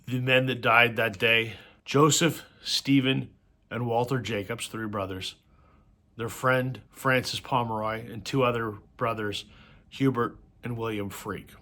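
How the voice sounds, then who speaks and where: A man with a bright, forceful voice talks casually to camera about his record collection. He is slightly hoarse from a cold and fills his stories with ums and uhs. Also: mid-range voice, steady tone, unhurried. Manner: conversational storytelling with frequent fillers. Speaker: host of a vinyl record channel.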